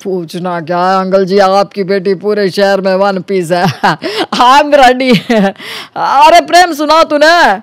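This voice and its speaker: Man acting a high-pitched, glib young schemer. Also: slow pace, clear, resonant tone, no room sound. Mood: gleeful. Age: young adult. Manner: glib, colloquial, teasing. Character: cunning, smooth-talking young man scheming against his flatmate. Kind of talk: Hindi animated story dialogue.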